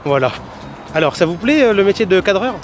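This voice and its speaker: A man's voice: high voice, sing-song, brisk. A young man hosting joking street interviews outdoors, teasing people with absurd questions in a casual tone. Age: young adult. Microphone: handheld interview microphone. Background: music, street sound.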